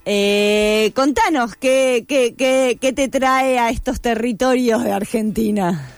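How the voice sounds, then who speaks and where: A woman's voice: a little high, slow pace, no echo, faint music behind. A woman with a Rioplatense accent hosting a live radio show, introducing and welcoming a guest. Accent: Rioplatense Spanish. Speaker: radio host.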